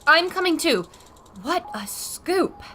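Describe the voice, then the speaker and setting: A plucky newswoman, played by an actress, delivers florid, dramatic lines in old radio style. Her voice is high and very animated in pitch. A faint noise runs behind it. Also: slow pace, exaggerated voice. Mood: excited, dramatic. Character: plucky, eloquent female reporter hungry for a scoop. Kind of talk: old-time radio adventure acting.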